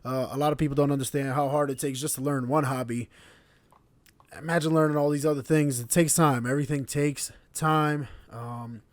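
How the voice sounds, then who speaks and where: Man in his 30s, casual podcast host, proud and relaxed, repeats himself, dry close sound. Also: mid-range voice, normal speed, dry recording. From born in Slovenia, raised in Connecticut. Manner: relaxed, proud, repetitive phrasing. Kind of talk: casual monologue to camera. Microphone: camera mic.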